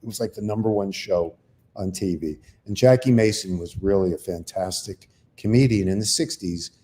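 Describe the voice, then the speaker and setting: An older man with a fairly low, dark, mellow voice talks in a relaxed, chatty way. He drifts through long, self-deprecating stories, one after another. The sound is of a comedian trading stories with a co-host. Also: normal speed. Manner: rambling anecdotal storyteller, self-deprecating. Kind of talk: casual chat and storytelling.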